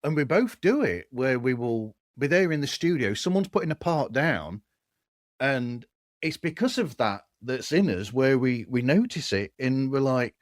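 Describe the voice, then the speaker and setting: A man's voice: mid-range voice, big pitch swings, normal speed, no room sound, clean studio sound. A man hosting a casual podcast conversation. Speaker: podcast host.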